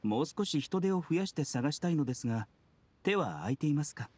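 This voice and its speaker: Casting: gentle, composed physician played by a man, lightly high male voice, expressive pitch, anime game. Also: brisk, slight background noise, close to an everyday voice. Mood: calm. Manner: soft-spoken polite formal phrasing. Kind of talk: Japanese anime game voice acting.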